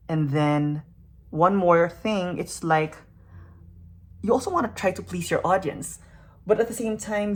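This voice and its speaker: Brief: young woman, native Tagalog speaker, earnest and soft, somewhat low voice, thinking aloud to camera. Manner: soft, earnest and searching, with fillers and self-questions. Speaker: makeup YouTuber. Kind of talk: reflective personal vlog talk.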